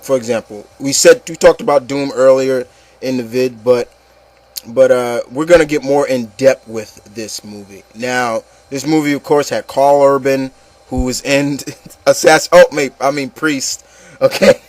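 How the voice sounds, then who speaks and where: A man's voice: medium pitch, a little slow, no echo. A man hosting an online show, talking casually and emphatically in a group discussion, often repeating himself for stress. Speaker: host of an online opinion show.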